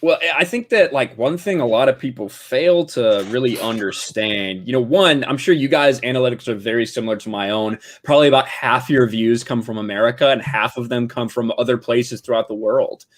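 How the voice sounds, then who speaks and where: A man's voice: medium pitch, brisk, hardly any pauses. A man giving casual commentary in an earnest, explanatory way. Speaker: online video commentator.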